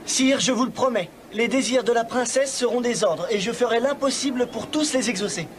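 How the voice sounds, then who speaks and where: An actor playing an earnest, confident young man in love in an animated film, polite and assured. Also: very high voice, fairly quick, noisy background. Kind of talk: French animated film dubbing.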